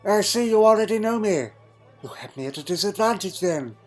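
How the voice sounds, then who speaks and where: A man's voice: high-pitched, lively intonation, brisk, a little mellow, faint music behind. A man playing a crotchety, dry-witted elderly time traveller in a fan audio drama, fussy and full of questioning hums. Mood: wry, wary. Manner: fussy, punctuated with questioning hmms.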